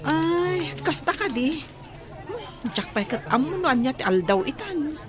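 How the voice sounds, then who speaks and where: A woman's voice: sits high, sing-song, a little slow. An actress playing a stressed, exasperated young wife and mother in an Ilocano radio drama. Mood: weary.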